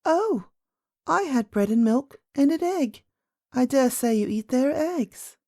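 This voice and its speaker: A woman's voice: sits high, lively intonation, slow, warm tone, no room sound, studio quality, pitched above the performer's own voice, heavily acted. A woman voicing a curious, outspoken little princess in a storybook, eager and childlike, full of questions. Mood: frank, inquisitive. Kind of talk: storybook character voice.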